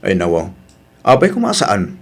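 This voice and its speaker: A man's voice: deep voice, huge pitch swings, normal speed, gravelly, breathy edge, full character voice. A man with an Ilocano Filipino accent playing an easygoing but exasperated village husband in a radio drama. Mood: puzzled.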